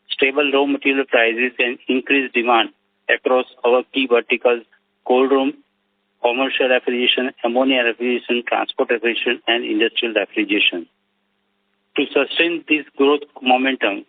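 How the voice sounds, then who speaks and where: Conference-call voice: male business executive, Indian accent, formal scripted delivery, medium pitch, tinny phone audio. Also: dry recording. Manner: formal, reading from prepared remarks. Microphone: telephone conference call. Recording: narrowband, dry phone line.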